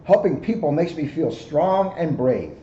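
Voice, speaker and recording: A man's voice: a little high, lively intonation, unhurried, dark tone, dull audio. A man voicing an earnest, easily impressed young boy in a storybook read-aloud. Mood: eager, amazed. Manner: earnest, wide-eyed. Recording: roomy recording with background noise.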